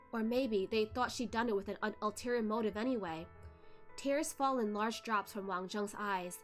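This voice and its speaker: A woman narrating a web novel for a read-along, expressive and careful with a dramatic delivery. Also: medium pitch.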